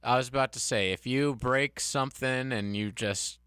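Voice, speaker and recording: A man co-hosting a casual radio talk show, candid and quick to laugh. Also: mid-range voice, a bit bright, clean tone, no echo, clean studio sound. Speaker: radio co-host. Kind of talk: casual sports radio chat. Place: radio studio. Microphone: studio microphone.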